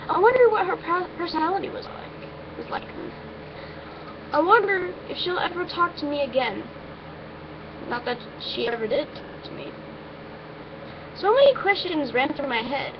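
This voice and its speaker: A woman reading a first-person story aloud in an informal, amateur way, now and then stumbling over her words. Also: high-pitched. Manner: informal, stumbles and breaks off with asides. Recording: muffled, noisy amateur recording.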